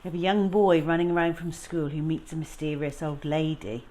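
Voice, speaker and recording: A woman reading a children's story aloud, moving between informal chat and expressive narration as a storytime host. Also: low-pitched, a little fast.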